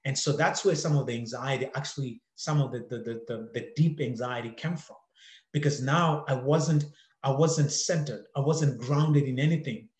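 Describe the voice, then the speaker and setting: A man's voice: mid-range voice, normal speed, a little mellow. Earnest man repeating words, echoey room. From Zimbabwe. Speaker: podcast host. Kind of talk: personal storytelling monologue.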